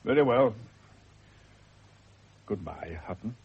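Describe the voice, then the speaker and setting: An actor playing a grave, philosophical doctor in a radio drama, speaking in a measured, moralizing way. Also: male voice, lowish voice, lively intonation, slightly rough, exaggerated voice. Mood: gently firm. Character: grave, philosophical doctor counselling a condemned man. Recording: muffled old radio drama recording, faint hiss.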